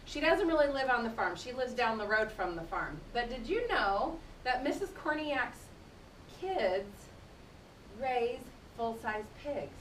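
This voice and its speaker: Roomy, higher-pitched woman teaching young children. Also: very animated, measured pace, fairly bright tone. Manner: explanatory, addresses young children directly. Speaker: teacher reading aloud to children. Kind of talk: classroom read-aloud with conversational explanations. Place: indoor room, speaking to a class. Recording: roomy, distant mic with rumbling noise.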